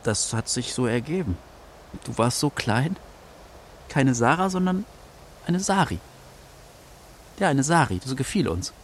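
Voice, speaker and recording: A patient father and forester, played by a man in a children's radio drama. His pitch is lively and varied as he explains things in a plain, matter-of-fact way. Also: unhurried, long pauses, slight background noise, natural voice. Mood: fond. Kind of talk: German children's radio play acting.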